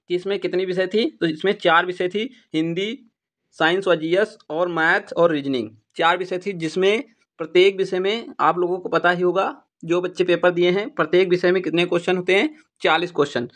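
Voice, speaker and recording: High-pitched man explaining to students. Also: a bit bright. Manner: repeats points for emphasis. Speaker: exam-prep YouTube teacher. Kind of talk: informal explainer addressing students.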